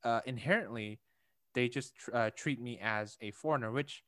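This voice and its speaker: A man's voice: medium pitch, normal speed, fairly bright tone, dry recording, clean studio sound. A young man from Indonesia chatting casually, thinking aloud with many fillers, restarts and light laughs. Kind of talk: casual conversation.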